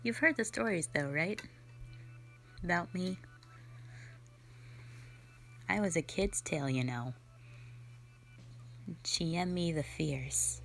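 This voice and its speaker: Actress as a nonhuman female slayer, sardonic and dismissive; low, unhurried delivery for an audio drama. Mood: wistful. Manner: dismissive, mocking, blunt.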